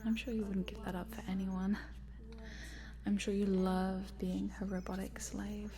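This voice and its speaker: A woman playing a cute but sinister scientist in an ASMR roleplay, coaxing in a soft, breathy whisper. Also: mid-range voice, little pitch movement, unhurried, close to an everyday voice. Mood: soothing, teasing. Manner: hushed, coaxing, murmuring, giggly asides. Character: cute sinister lady scientist, chatty, coaxing, quietly gleeful.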